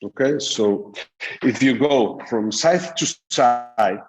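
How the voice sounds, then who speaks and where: Halting middle-aged man teaching a class. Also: medium pitch, slight background noise, rough recording. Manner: explanatory, halting with frequent fillers. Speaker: dental lecturer. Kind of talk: recorded master class lecture.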